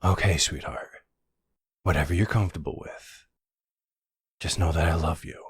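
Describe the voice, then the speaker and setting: A man as a low, husky, caring boyfriend. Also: moderate pace, exaggerated voice. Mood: tender, concerned. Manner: tender, hesitant, casual. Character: caring, uneasy young boyfriend, protective and sweet. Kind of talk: boyfriend roleplay audio.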